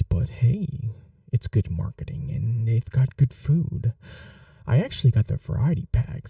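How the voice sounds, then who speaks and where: Man playing a very mellow, smitten goofy supervillain. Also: mid-range voice, no echo, far from the mic. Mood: friendly, sheepish. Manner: rambling, sheepishly boastful, breaking into breathy hushed asides. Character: eager, awkward young male supervillain, smitten and chatty. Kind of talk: ASMR roleplay audio drama, addressing the listener.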